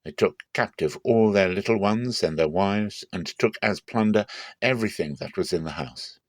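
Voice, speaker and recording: A man's voice: on the low side, normal speed, no room sound. A man reading scripture aloud as dramatic, scripted narration in a theatrical manner. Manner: theatrical, acts out quoted speech. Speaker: Bible reader.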